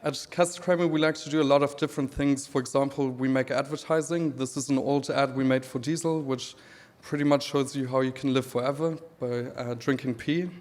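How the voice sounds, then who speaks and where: A man in his thirties from Namibia with a German accent, giving a relaxed conference talk with dry humor and many pauses. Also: medium pitch. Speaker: speaker presenting his creative work. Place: event hall. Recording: reverberant hall.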